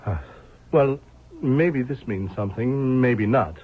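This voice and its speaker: An actor playing an uneasy, evasive man in an old radio drama, speaking haltingly. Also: medium pitch, slightly rough. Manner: hesitant, halting. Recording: muffled, narrow-band old radio recording.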